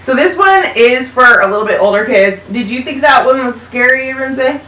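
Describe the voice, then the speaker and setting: A woman's voice: high-pitched, lively intonation, normal speed, bright, forceful tone. A woman in her thirties, an upbeat host and mother, chatting casually about podcasts with her kids. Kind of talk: casual chat recommending podcasts. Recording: roomy sound, mic at a distance.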